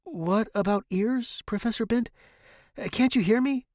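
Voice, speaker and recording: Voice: slow pace, a little mellow, no echo, clean studio sound. A storyteller voicing a devoted young male music student, earnest, emotional and imploring. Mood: tender, worried. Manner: earnest, imploring, high and distraught.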